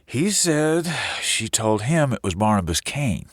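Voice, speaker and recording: A man's voice: medium pitch, sing-song, measured pace, no echo, studio quality. An actor playing a grave man in charge in an audio drama, terse and urgent as he reports bad news.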